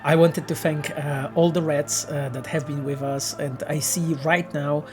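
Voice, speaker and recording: Male streamer and game dev, casual Q&A, earnest and halting, faint music under him. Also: a little high, flat delivery. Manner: earnest, halting explanations with many restarts. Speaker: game developer streaming and answering chat. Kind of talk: casual livestream Q&A.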